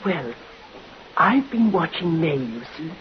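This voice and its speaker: A woman playing a gentle, frail, middle-aged invalid spinster in an old radio drama, earnest, plaintive and a little hesitant. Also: deep voice, big pitch swings, slow pace, full character voice. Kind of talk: 1950s radio drama acting. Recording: muffled old radio recording, faint hiss.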